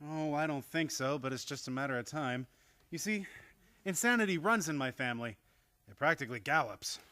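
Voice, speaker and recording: Actor as a frantic, wildly swooping radio-play nephew. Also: male voice, fairly high pitch, fairly quick. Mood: wry despair. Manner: theatrical, excitable, gasping exclamations. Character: frantic, exasperated nephew, horrified by his murderous family. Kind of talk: live old-time radio play acting.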